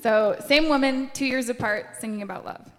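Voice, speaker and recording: A woman's voice: on the high side, normal speed. A woman in her thirties doing dry, sardonic comedy on a live stage. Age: 30s. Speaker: musical comedy performer. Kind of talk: deadpan comic stage banter. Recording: echoey live hall with faint music.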